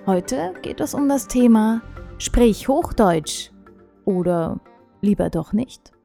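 Mellow-voiced woman speech coach. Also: on the high side, lively intonation, takes it slowly, vocal fry, soft background music. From Thuringia, Germany. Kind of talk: scripted talk to camera.

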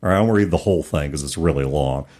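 A man's voice: very deep voice, racing, darkish tone. A man co-hosting a podcast, talking casually and reading rulebook passages aloud. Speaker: podcast co-host.

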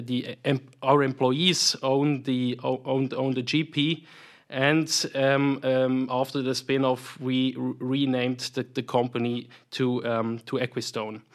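A man in his thirties with a Swiss accent, answering interview questions about his career in a halting way, full of fillers and restarts. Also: medium pitch. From Switzerland. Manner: hesitant, many fillers and repeated words. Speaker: private equity investment professional, guest.

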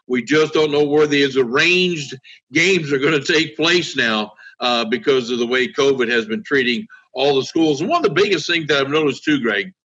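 A man, a guest commentator on high school basketball, speaking casually with many "uh" fillers. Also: sharp tone. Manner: halting, heavy use of "uh" fillers. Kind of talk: casual sports talk. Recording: dull, lo-fi audio.

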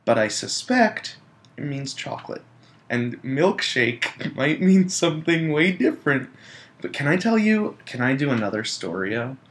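A man's voice: mid-range voice, very animated, a little slow, a bit bright. Rambling young man co-hosting a chat. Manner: rambling, self-deprecating, laughs often. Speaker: podcast co-host. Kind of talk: casual chat.

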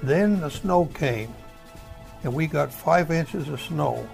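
A man's voice: on the high side, lively intonation, slow pace, darkish tone, faint music behind. An elderly American man, a war veteran telling his memories in a folksy, rambling way, searching for words. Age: older, over 70. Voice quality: gravelly. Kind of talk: oral history storytelling.

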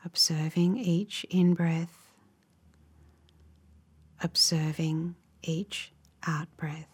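Female meditation guide, lowish voice, very unhurried and calm, softening into breath at phrase ends. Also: breathy edge. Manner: calm, measured, instructive.

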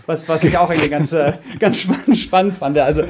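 A man's voice: fairly high pitch, fairly quick, background noise. A man, a researcher, talking casually as a podcast guest, hesitant, with many fillers and restarts. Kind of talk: casual interview talk.

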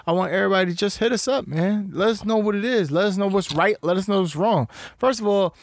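Podcast co-host, male, somewhat high voice, blunt and profane, interrupts, dry close mic. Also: quick pace, no echo. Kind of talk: casual chat.

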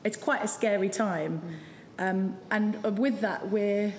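A woman's voice: mid-range voice, takes it slowly. A woman speaking to a live audience about a campaign, hesitant and full of restarts. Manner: hesitant, many restarts and ums. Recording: roomy hall with long reverb, faint noise.

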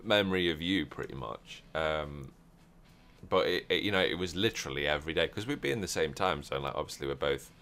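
A man's voice: a bass voice, a little fast, a bit bright. A man hosting a roleplay podcast, chatting casually and rambling with many fillers and restarts. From Europe. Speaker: podcast host.

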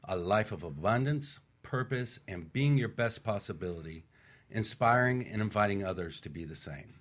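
A man's voice: lowish voice, lively intonation, moderate pace. A man hosting a radio show from a studio, enthusiastic and announcer-like.

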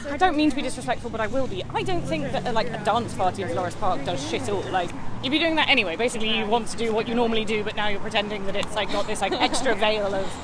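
A woman's voice: fairly high pitch, expressive, fast talker, few pauses, noisy background. A woman interviewed casually as a protester while walking down a city street, starting hesitantly and leaning on filler words.

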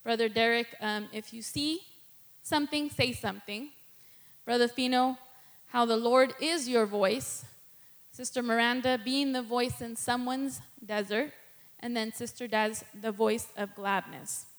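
A woman's voice: on the high side, fairly even intonation, a little slow. Nervous, candid woman preaching testimony. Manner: nervous, candid, laughs between thoughts. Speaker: guest preacher. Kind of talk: personal testimony sermon.

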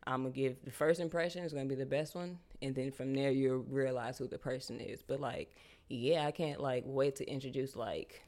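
A woman's voice: a bass voice, normal speed. A young woman from Charlotte, a clothing designer, talking loosely and full of slang as a podcast guest. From Charlotte, United States. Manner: loose, slangy, animated, says "you feel me" often. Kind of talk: casual interview chat.

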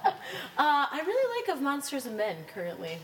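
A woman in her thirties answering rapid questions in a casual group chat, giggly and chatty. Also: high-pitched, huge pitch swings, fairly bright tone. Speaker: interviewee, chemistry faculty. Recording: echoey room, faint background hum.

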